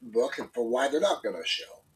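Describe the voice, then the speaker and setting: A man with a stuffed-up, congested voice co-hosts a casual morning talk show. He rambles through his points with plenty of fillers and restarts. His voice sits at a middle pitch and sounds a little distant from the microphone. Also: expressive, moderate pace, slight background noise. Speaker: co-host. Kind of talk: casual opinion chat. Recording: voice a bit far from the mic.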